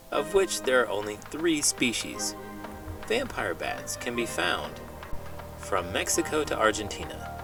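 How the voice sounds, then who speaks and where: A man reading a children's book aloud with expressive, dramatic narration. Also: on the low side, recorded from a distance. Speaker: children's book reader, teacher.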